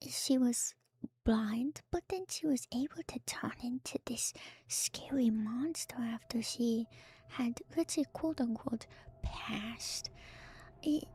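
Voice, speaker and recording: A woman streamer whispering softly and breathily in an ASMR style, musing gently and hesitantly through game lore commentary close to the microphone. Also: on the high side. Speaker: streamer, VTuber host. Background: music.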